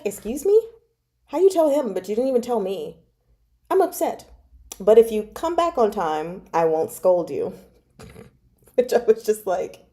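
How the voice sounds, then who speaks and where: A woman with a chatty, giggly, expressive way of talking, casually hosting a livestream book club. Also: mid-range voice. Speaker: host of a book club reading stream. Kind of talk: casual livestream chat about a novel.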